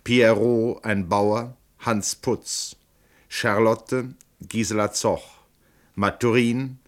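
A man reading out radio play credits as a formal announcer, with measured enunciation. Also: fairly low pitch, steady tone.

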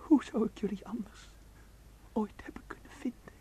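An actor playing a hot-blooded, jealous young man, a protective brother, in a Dutch radio play with intense, halting delivery. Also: sits high, warm tone, whispered, natural voice. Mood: dying, weak. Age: 20s.

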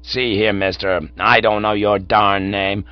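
A man voicing a stout, blustering old American theatre boss in a storybook reading, gruff and blunt. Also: low voice, expressive, moderate pace, no room sound, dull audio, faint music behind, pitched below the performer's own voice, heavily acted. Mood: barking fury. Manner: gruff, barking, doting on his son.

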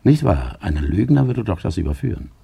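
A condescending interrogator in a radio drama. His voice is low, dark and husky, and he presses on with deliberate, insinuating insistence. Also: big pitch swings, full character voice. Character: insistent, condescending male interrogator, probing and soothing by turns. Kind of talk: German radio play acting.

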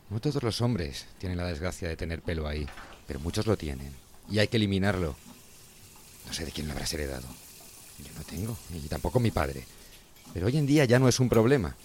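Man playing a deep-voiced, fussy father. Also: lively intonation, a little fast, long pauses, a little mellow. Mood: fussy, advising. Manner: fussing, admonishing, earnest. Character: fussy, caring, prim househusband father. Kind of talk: audio fiction acting.

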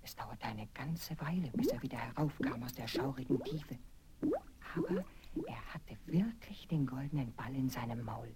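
A woman narrating a scripted fairy tale for children in an expressive, deliberate storytelling manner. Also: a bass voice, heavy background noise. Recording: vinyl record transfer with music.

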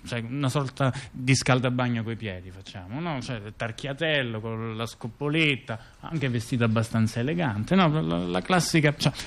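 A man acting as a chatty intellectual, telling scandals in conversational stage monologue, halting and repeating himself with frequent tag questions. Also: mid-range voice, gravelly, natural voice. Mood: explanatory, ironic. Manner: halting, repetitive, full of rhetorical tag questions. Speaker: stage actor-narrator. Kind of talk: conversational monologue theatre, addressing a listener.

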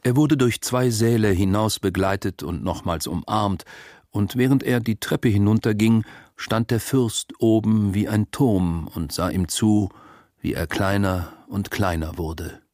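A man narrating a literary story from a script in an expressive, measured storytelling manner. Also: on the low side, dry recording, clean studio sound.

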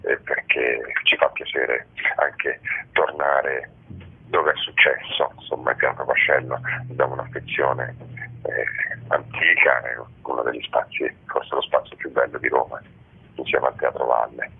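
A man giving halting, measured, reflective answers as a theatre director interviewed over a tinny telephone line. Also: rumbling low, flat delivery, gravelly, breathy edge. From Rome, Italy. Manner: halting, measured, with pensive pauses. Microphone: phone call.

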